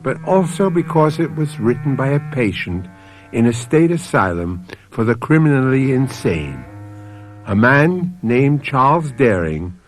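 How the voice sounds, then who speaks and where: Male radio mystery host: deliberate scripted narration, medium pitch, very lively intonation, light music bed. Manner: deliberate, storytelling.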